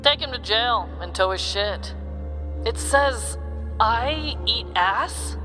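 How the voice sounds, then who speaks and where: A woman's voice: on the high side, animated, slow, sharp tone, long reverb tail, faint music behind, pitched above the performer's own voice, full character voice. A woman voicing a curt, no-nonsense police sergeant who questions tersely in a comedic true-crime skit. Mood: curt, businesslike.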